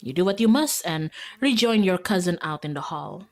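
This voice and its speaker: A woman's voice: on the low side, expressive, normal speed. Woman reading as wry visual novel narrator. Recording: background music.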